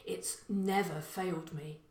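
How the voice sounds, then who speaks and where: A woman's voice: lowish voice, steady tone, slow, darkish tone, echoey room. A woman voicing a confident, burly middle-aged burglar father who gives blunt orders in a crime story. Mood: assured.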